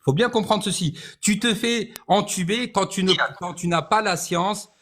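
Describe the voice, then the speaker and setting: Insistent high-voiced man arguing. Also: normal speed, fairly bright tone. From France. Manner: insistent, keeps cutting in to finish his point. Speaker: debater. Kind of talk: heated street debate.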